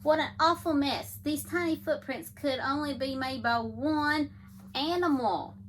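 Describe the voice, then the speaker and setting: A woman reading picture books aloud to young children in an animated, engaging way, often addressing her listeners directly. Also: high-pitched, measured pace, slight background noise.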